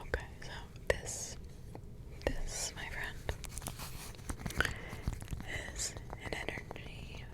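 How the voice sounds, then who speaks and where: A woman voicing a protective witch grandmother in an ASMR roleplay, in a soft whisper with slow, rambling, repetitive asides. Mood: hushed, caring. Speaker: ASMR creator.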